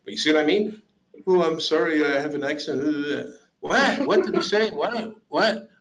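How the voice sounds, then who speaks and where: A man giving a career workshop to job seekers, lively and joking as he acts out little interview scenes. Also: on the high side, normal speed.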